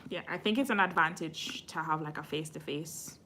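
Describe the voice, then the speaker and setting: A woman's voice: low voice, brisk, pushed and bright, a little hiss or hum. A woman from Jamaica hosting a casual live stream, answering viewer questions frankly and admitting when she doesn't know. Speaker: immigration channel host.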